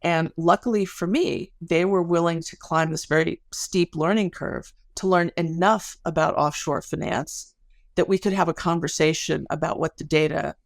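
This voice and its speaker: A woman's voice: low-pitched, fairly even intonation, normal speed, gravelly, no echo, studio quality. A middle-aged woman speaking as an academic interview guest, explaining things in a thoughtful, careful way and often correcting herself. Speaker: podcast guest, academic researcher.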